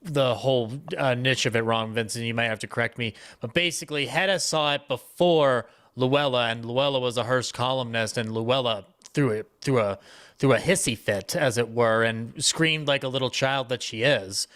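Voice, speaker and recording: A man talking casually as a panelist on a discussion, rambling through stories and halting mid-thought. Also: mid-range voice, a bit bright. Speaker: panelist, old-time radio historian.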